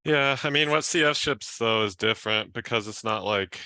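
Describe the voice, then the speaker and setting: A man's voice: fairly low pitch, normal speed, pushed and bright, no room sound. A man in his thirties talking informally and hedging in a technical discussion on a headset. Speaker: software engineer on a working group call. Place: room at home, video call. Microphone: headset boom mic.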